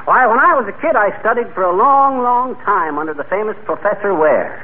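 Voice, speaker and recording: Cheerful American blowhard husband, high lively voice, bragging patter, old radio comedy. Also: male voice, fairly quick. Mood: breezy bragging. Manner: bragging, tongue-twisting patter. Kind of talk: 1940s radio comedy acting. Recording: tinny old radio recording, hissy.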